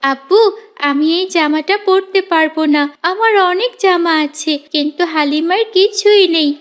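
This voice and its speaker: A woman's voice: very high voice, moderate pace. A woman voicing a kind young girl who speaks earnestly.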